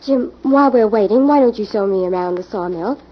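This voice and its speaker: A woman's voice: mid-range voice, fairly quick, close to an everyday voice. A woman playing a detective's curious, earnest companion in an old-time radio drama. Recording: muffled, noisy old radio recording.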